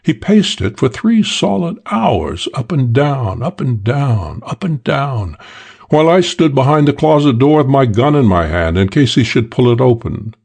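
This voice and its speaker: A man voicing a suave, self-assured English gentleman thief telling a wry crime story for an audiobook, with old-fashioned British slang. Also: medium pitch, sing-song, a little slow, vocal fry, slightly rough, heavily acted. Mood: cool, matter-of-fact.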